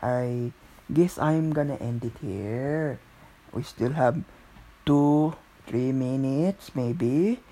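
A man's voice: mid-range voice, drawn-out words, warm tone, low-quality recording. A man with a Filipino accent hosting a solo podcast in a rambling, casual way, gushing about things and searching aloud for words. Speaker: podcast host.

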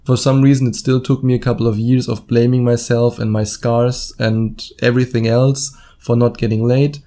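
Mellow man with a German accent. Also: mid-range voice, little pitch movement, normal speed. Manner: informal, blunt, swears casually, acts out imagined quotes. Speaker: podcast host and dating coach. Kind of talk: solo podcast monologue, casual direct address.

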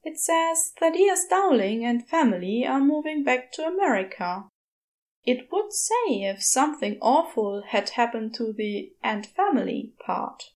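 A woman's voice: fairly high pitch, sing-song, measured pace, a little mellow. A woman voicing a fussy, well-meaning male character in a story, prim, polite and reassuring.

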